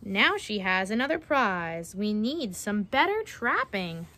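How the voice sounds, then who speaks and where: A woman's voice: medium pitch, very animated, slow, pushed and bright. A young adult woman reading a children's picture book aloud expressively, dramatizing the verses and putting questions to her young listeners. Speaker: read-aloud storyteller. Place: outdoors on a wooden backyard deck.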